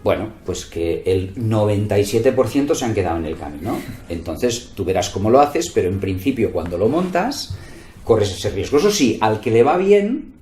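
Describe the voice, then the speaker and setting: Man, low mellow voice, didactic interview talk, roomy echo, faint music behind. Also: very animated, normal speed. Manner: didactic, with rhetorical tag questions and examples. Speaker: entrepreneur and investor being interviewed. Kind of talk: conversational interview, explaining business advice.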